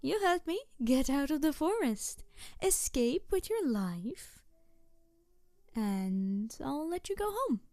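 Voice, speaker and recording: A woman playing a mischievous, excitable tanuki trickster spirit in a roleplay audio drama, teasing and flirty and easily huffy, talking straight to the listener. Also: high voice, slow, no room sound, full character voice. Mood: playful, mischievous.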